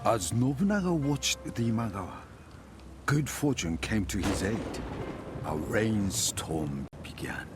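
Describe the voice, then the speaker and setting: Deep, solemn, expressive samurai chronicler. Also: male voice, slow. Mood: solemn storytelling. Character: solemn samurai chronicler and resolute clan brother. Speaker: narrator. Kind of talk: dramatic scripted historical narration for game cutscenes. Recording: music behind the voice. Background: cinematic music.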